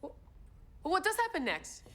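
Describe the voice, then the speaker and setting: An actress playing a lively, excitable 1920s woman sleuth with a direct manner. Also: extremely high pitch, moderate pace, heavily acted. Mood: impatient, excited. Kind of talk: television period drama acting.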